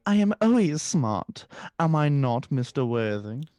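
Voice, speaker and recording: A man's voice: medium pitch, sing-song, unhurried, a little mellow, no room sound, pitched above the performer's own voice. A man voicing a smart, self-possessed young society lady, coy, arch and airy, in a stage comedy table read. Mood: coy, pleased.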